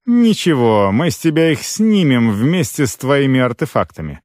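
A man's voice: mid-range voice, big pitch swings, normal speed, darkish tone, no room sound, clean studio sound, lightly acted. A man reading the part of a gloating gang-leader villain in a fantasy audiobook, mocking and drawn out. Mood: mocking threat.

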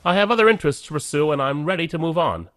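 A confident genius scientist in an audio drama. His delivery is animated and assured, with a restless edge. The sound is close and dry. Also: a little high, fast, no room sound. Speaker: actor.

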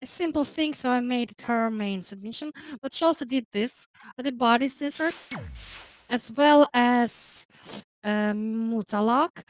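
Slow-talking woman chatting over gameplay. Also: on the high side, no room sound, rough recording. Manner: chatty, rambling, many fillers. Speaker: gameplay commentator. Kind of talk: casual gameplay commentary.

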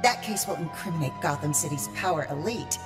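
A woman's voice: sits low, expressive, a little fast. An actress playing a cold, sardonic, seductive female antihero in an audio drama. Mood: cool, calculating. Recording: long reverb, prominent music underneath.

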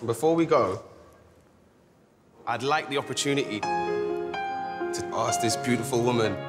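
A man's voice: mid-range voice, unhurried. A young man competing on a talent show, talking earnestly and gushing with restarts. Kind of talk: eager unscripted interview chat. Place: TV studio audition stage. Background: music.

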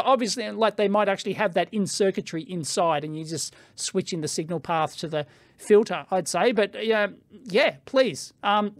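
A middle-aged Australian man with a fairly high voice talks excitably while he tries out a microphone. He thinks aloud, laughs at himself and complains freely. His voice sounds close and dry, with almost no room around it. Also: no echo. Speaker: electronics video host. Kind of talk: casual product review. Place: cluttered electronics lab indoors. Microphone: studio microphone on a stand.

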